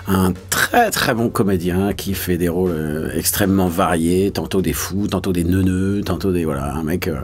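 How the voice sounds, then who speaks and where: Low-voiced Frenchman chatting casually. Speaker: voice actor being interviewed. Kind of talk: casual interview talk. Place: small recording studio.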